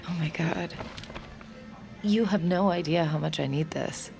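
An actress playing a flustered, warm-hearted working woman in naturalistic audio drama, speaking casually and haltingly. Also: deep voice, gravelly, faint music behind. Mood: grateful.

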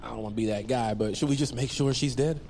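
A man's voice: mid-range voice, fast talker, gravelly, very noisy, lightly acted. An actor playing a melodramatic young man, a jittery buddy hero in a comedic action audio drama, full of hesitant banter. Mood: uneasy banter. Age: young adult.

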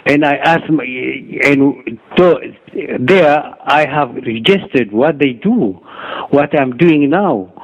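A middle-aged man with an Ethiopian accent, a doctor and author giving interview answers in a halting way, often repeating and restarting words. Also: medium pitch. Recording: dull lo-fi radio recording.

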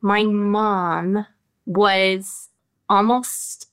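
Thoughtful actress, smooth clear voice. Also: female voice, mid-range voice, steady tone, a bit bright. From America. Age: adult with children. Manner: thoughtful, halting, many restarts and fillers. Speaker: actress being interviewed. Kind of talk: reflective interview answers.

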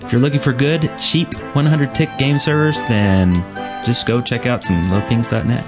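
A man's voice: mid-range voice, fairly even intonation, moderate pace, over music. A man hosting a podcast in a casual, chatty style. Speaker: podcast host.

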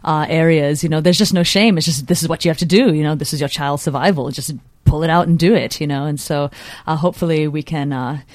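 A woman's voice: low-pitched, fast talker, few pauses, darkish tone, no room sound. A woman in her thirties, a podcast guest, rambling with lots of fillers. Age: 30s. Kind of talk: casual panel discussion.

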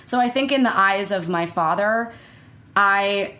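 A woman's voice: medium pitch, measured pace, pushed and bright. Twenty-something woman guest on a chat podcast, middle voice, lots of ums and restarts. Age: 20s. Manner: chatty, hesitant restarts, frequent laughs. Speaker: guest actor and screenwriter. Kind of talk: casual interview chat.

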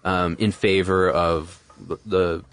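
Low-voiced man explaining on a podcast. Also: level tone, takes it slowly, fairly bright tone, no room sound. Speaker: podcast co-host. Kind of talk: casual explanatory podcast talk.

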